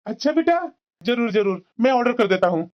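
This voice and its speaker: A man's voice: extremely high pitch, very animated, fairly quick, dull audio, soft background music. A man playing an evasive husband and doting uncle in a moral-story cartoon, irritable at home. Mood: indulgent.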